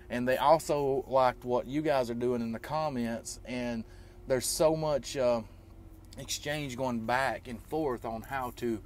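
A man's voice: medium pitch, slight background noise. A man in his thirties talking informally to vlog viewers, explaining emphatically and repeating himself to drive points home. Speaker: trucker vlogger.

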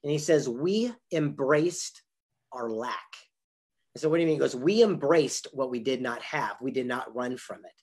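An older man speaks as a motivational storyteller over a video call. His voice is husky and rough-edged at a middling pitch. He delivers his points with deliberate emphasis. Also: darkish tone. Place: indoor room on a video call.

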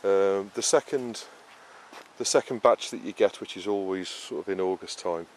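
Man, low voice, rambling garden walkthrough, outdoor noise behind, handheld camera audio. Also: normal speed, darkish tone. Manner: rambling, many fillers and restarts, explains step by step. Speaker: allotment gardener, show host. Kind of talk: casual garden tour walkthrough. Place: outdoors on a vegetable allotment. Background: outdoor rural ambience.

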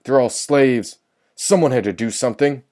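A man voicing a desperate, defiant male prisoner in a story, agitated and yelling. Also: medium pitch, fairly quick, natural voice.

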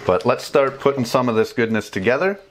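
A man's voice: mid-range voice, expressive, a little fast, slight background noise. A man in his thirties casually demonstrating a gear install at his desk at home, chatty with fillers and restarts. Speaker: motorcycle travel vlogger. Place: small home room with bookshelves and desk.

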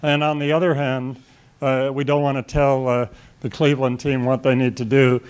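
A man's voice: mid-range voice, level tone, normal speed, clean tone. A man from Canada in a conference audience, asking a rambling, hedging question. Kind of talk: question from the floor.

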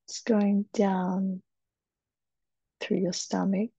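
A woman leading a guided meditation in a soft, breathy, soothing voice, with short phrases and long pauses. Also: medium pitch, flat delivery, no echo. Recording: dull, muffled, dry recording.

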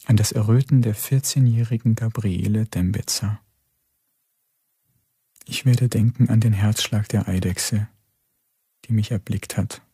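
An actor playing a reflective man who recalls the beauty of the earth in a slow poetic radio-play monologue. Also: fairly low pitch, steady tone, dark tone, breathy edge, no room sound, clean studio sound, full character voice. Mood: wistful, reflective.

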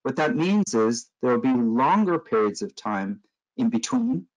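A man's voice: medium pitch, very animated, gravelly, rough recording. American man giving a slide lecture. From Los Angeles, California. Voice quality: measured, explanatory, frequent um and uh fillers. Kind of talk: conference lecture over slides.

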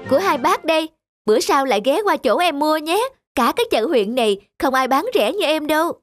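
Shrill-pitched, scheming village wife. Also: female voice, lively intonation, fairly quick, faint music behind. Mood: sales patter. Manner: exaggerated, nagging, emphatic. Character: scheming, sharp-tongued village rice-seller wife, brazen and scolding. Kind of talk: animated folk-tale cartoon dubbing.

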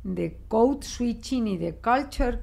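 Woman, older, Spanish accent, slow, gravelly, reflective, small studio desk mic. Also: on the high side. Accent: Spanish from Spain, adapted toward Mexican Spanish. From Spain. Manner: expressive, reflective, with frequent restarts and gestures. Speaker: guest linguist. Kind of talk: conversational interview. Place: small studio office, indoors. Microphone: desk microphone.

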